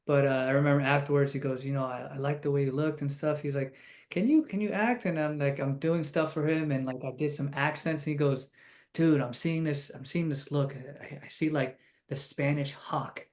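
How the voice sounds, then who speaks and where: A man's voice: on the high side, steady tone, fairly quick, a little mellow. A man, an actor and martial artist, talking casually and laid-back as an interview guest. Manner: laid-back, uses casual slang and fillers.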